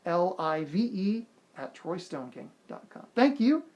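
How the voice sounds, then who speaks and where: An earnest man speaks straight to his viewers with motivational advice. He encourages them warmly and repeats his key lines to drive them home. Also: high voice, big pitch swings, a little slow, lo-fi sound. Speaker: motivational video host. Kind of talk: motivational self-help talk to camera.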